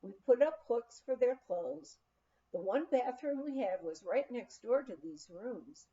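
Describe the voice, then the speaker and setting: A woman with a fairly high, mellow voice reads a memoir aloud. Her storytelling is expressive, with plenty of rise and fall in the melody. The recording is a little dull. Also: measured pace. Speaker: audiobook reader. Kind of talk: reading a memoir aloud, scripted narration.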